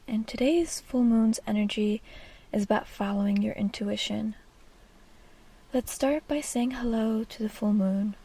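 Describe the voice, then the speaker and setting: A woman leading a guided meditation in a soft, breathy, half-whispered and soothing delivery. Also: fairly high pitch, low-quality recording.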